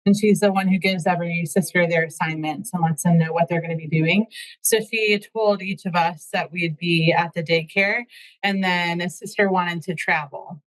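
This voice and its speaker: Calm matter-of-fact woman, a nun. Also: on the low side, moderate pace, low-quality recording. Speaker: nun, former teacher. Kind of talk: casual interview chat.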